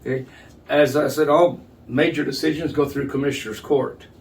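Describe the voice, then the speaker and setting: An older man, an official being interviewed, explaining things in a measured, halting, plain-spoken way. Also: mid-range voice, fairly even intonation. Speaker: county judge, interview guest. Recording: distant mic, noticeable background noise.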